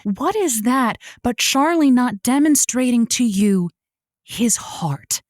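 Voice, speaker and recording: A woman's voice: a little high, lively intonation, slow, darkish tone, dry recording, studio quality. A woman playing a quirky, soothing radio advice host in a comedic audio drama, earnest and playful in her delivery. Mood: earnest, consoling.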